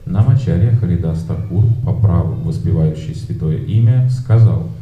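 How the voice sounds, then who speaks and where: A man interpreting a religious lecture aloud in a measured, formal way. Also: low voice, lively intonation. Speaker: interpreter and organizer. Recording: dull, echoey, mic far off.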